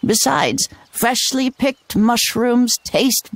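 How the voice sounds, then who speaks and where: Game grandma witch: elderly, affectionate, a bit grumbly, unhurried, dry close recording. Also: on the high side, gravelly, no room sound. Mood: kindly, instructive. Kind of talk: scripted video game acting.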